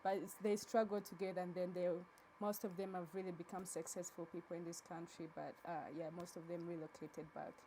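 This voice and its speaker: Woman in her 30s from uganda, interview guest, mellow, lowish voice, relaxed and soft-spoken, faint hiss. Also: brisk, poor audio. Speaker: interview guest, charity co-founder. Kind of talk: casual interview.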